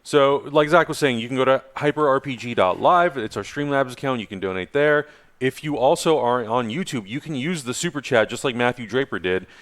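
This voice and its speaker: A man co-hosting a casual podcast, upbeat and chiming in with quick agreement. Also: medium pitch. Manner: enthusiastic, lively, affirming. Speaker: podcast co-host. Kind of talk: casual chat.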